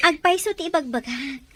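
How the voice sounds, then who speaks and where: A woman's voice: sits high, unhurried, slight background noise. A woman acting a cheerful, nosy, teasing friend in a radio drama, with an Ilocano accent. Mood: teasing, gleeful.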